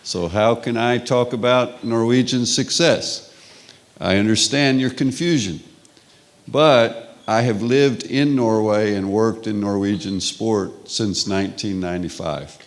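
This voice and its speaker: A middle-aged man from Texas with a Texan accent, giving a relaxed, conversational conference lecture with frequent restarts. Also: lowish voice, measured pace. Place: conference hall.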